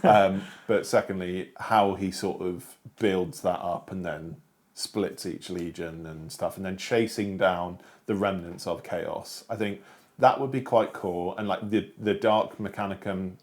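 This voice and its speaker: A British man with a fairly low-pitched voice talks casually as co-host of a book discussion show. His delivery is unscripted and informal, sprinkled with everyday slang. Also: steady tone, unhurried, darkish tone. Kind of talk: casual unscripted chat about books.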